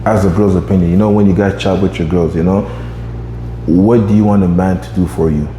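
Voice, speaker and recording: A man's voice: deep voice, fairly even intonation, a little fast, warm tone, echoey room, in a large hall, a little hiss or hum, low-quality recording. A man in his twenties hosting a podcast, chatting casually and rambling with lots of fillers. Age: 20s. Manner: rambling, many fillers like "you know what I mean". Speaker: podcast host.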